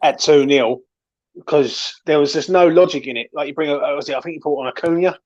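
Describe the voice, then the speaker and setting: A man's voice: fairly high pitch, fairly quick, a little mellow, highs cut off. A man hosting casual football chat, emphatic and opinionated, repeating himself to stress his points. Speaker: host of a football discussion show.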